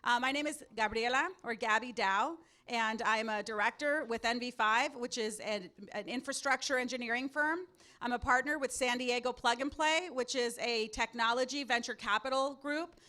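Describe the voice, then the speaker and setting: A woman in her forties, from Mexico, moderating a panel and addressing a student audience into a microphone in a loud, upbeat, confident voice. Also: on the high side, moderate pace. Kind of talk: public speaking to a student audience. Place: event hall at a panel table. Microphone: handheld or table microphone.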